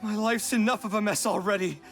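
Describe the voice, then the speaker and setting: A man's voice: sits high, steady tone, fairly quick, a bit bright, faint music behind. An actor playing an earnest, exasperated, principled young man in a game, speaking with emotion. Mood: defiant, upset. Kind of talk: dramatic game voice acting.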